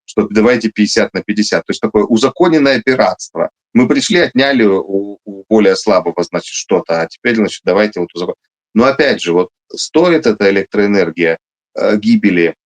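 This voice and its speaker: A man's voice: on the low side, fairly quick. A man who is a military officer, answering interview questions emphatically, with frequent hesitations and restarts. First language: Russian.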